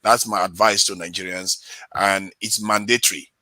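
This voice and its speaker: A man's voice: low-pitched, fairly even intonation, normal speed, pushed and bright, dry recording. A man speaking as a panelist, earnestly urging people to care for each other. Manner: earnest, pleading for unity. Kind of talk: advisory remarks on a panel.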